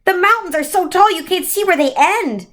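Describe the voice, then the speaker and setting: A woman's voice: very high voice, lively intonation, moderate pace, fairly bright tone, voice pushed up. A woman voicing an earnest, intense little boy in a children's story read aloud. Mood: excited.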